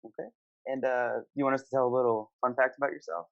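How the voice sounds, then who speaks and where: A man's voice: mid-range voice, little pitch movement, quick pace, creaky. A man casually hosting an online gaming panel, asking informal questions and affirming his guests' answers, on a dull voice-call recording. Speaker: host of a gaming discussion panel. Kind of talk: casual moderated online discussion.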